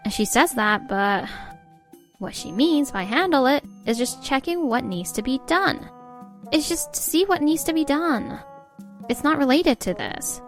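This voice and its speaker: A woman's voice: high voice, lively intonation, a little slow, soft background music. A woman playing an exasperated, overburdened young wife and mother in a scripted comic dub. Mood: defensive. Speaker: voice actor in a comic dub, narrating and playing characters. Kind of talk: scripted dramatic narration and dialogue.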